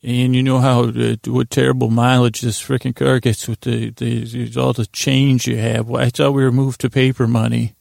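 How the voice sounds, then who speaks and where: Man playing a gravelly, grumbling homebody fairy husband. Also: mid-range voice, little pitch movement, a little mellow, dry recording, studio quality. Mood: mildly exasperated. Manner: mildly exasperated, halting.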